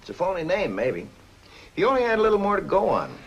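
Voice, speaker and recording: A wry fed-up old movie cop, lively pitch, kinda muffled and hissy. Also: male voice, fast, vocal fry, heavily acted. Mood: wry, informing. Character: wry, frustrated male police detective. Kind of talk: 1950s film noir acting. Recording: dull, faintly hissy old film soundtrack.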